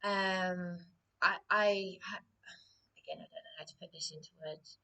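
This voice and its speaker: Hoarse woman vlogger, slow and halting, voice slipping into whispers, pitched a little low. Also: steady tone, pushed and bright. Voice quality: hoarse, often dropping to a whisper. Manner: distressed, hesitant, halting with many restarts. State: losing her voice, coughing. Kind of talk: personal vlog monologue.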